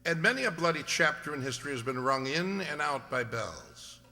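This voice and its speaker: A man's voice: a little high, big pitch swings, moderate pace, bright, forceful tone, exaggerated voice. A man playing an erudite, drily teasing English detective in an old-time radio drama, with a precise, lecturing manner. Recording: hall-like long reverb.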